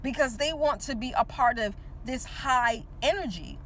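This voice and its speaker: A woman's voice: fairly high pitch, moderate pace, fairly bright tone, slight background noise. Woman in her forties, chatty motivational talk. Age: 40s. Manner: talks to the viewer with repeated "right?" checks and emphatic repetition. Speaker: teacher and motivational speaker. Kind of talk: casual motivational monologue.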